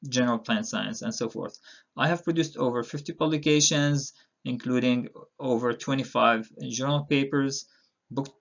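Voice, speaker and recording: Middle-aged male professor from Palestine, relaxed lecture delivery with lots of fillers, some vocal fry, slightly muffled audio. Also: medium pitch. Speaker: university instructor. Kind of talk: recorded online course introduction.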